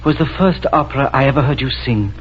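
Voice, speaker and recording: An actor playing an earnest, lovestruck prince with ardent, halting delivery in a 1940s radio drama. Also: male voice, mid-range voice, animated. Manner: ardent, halting, breathy declarations. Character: lovestruck, earnest prince wooing an opera singer. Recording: dull vintage radio recording with music.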